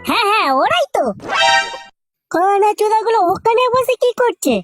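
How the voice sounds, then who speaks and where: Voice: very high voice, normal speed. A performer voicing a cheeky, foul-mouthed, blustering cartoon boy in exaggerated comedy dialogue. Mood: irritated. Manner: crude, swearing, indignant. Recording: cartoon music behind the voice.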